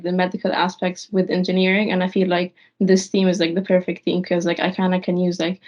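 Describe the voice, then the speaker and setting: Flat-voiced young woman from Egypt. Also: fairly low pitch. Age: young adult. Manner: rambling, heavy use of "like". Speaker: guest, engineering student. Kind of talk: casual podcast interview. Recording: dull lo-fi audio.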